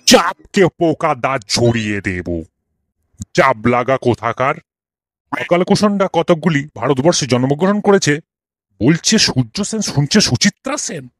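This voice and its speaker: Man playing a high-voiced, cranky old schoolmaster. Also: expressive, unhurried, no room sound, clean studio sound. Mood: exasperated scolding. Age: older. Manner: ranting, exasperated, theatrical scolding with rhetorical questions. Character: cranky old bald schoolmaster, scolding his pupils. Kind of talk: comic cartoon dialogue.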